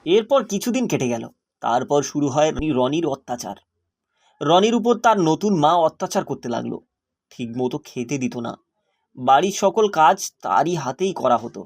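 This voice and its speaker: A narrator of medium-pitched voice telling a scripted children's cartoon story. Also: sing-song, normal speed, gravelly, darkish tone.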